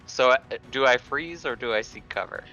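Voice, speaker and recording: Bright-voiced man chatting during a game. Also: mid-range voice, normal speed, dull audio. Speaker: player in a tabletop game. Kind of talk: casual game chat. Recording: music behind the voice. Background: music.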